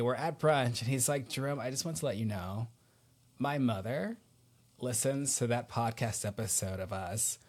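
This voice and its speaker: A man's voice: medium pitch, fairly bright tone. A man chats as the host of a podcast. His delivery is casual and effusive, with informal wording and repeated phrases that underline his points. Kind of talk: casual chat, personal anecdote.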